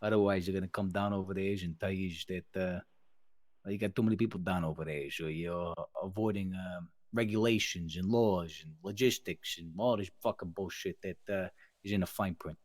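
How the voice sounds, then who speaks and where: Man playing a deep-voiced, scheming mobster. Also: steady tone, moderate pace, darkish tone, no echo, natural voice. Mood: businesslike. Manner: roundabout, euphemistic, hedging wiseguy talk. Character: scheming, businesslike mobster underling reporting to boss. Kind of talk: improvised online crime roleplay.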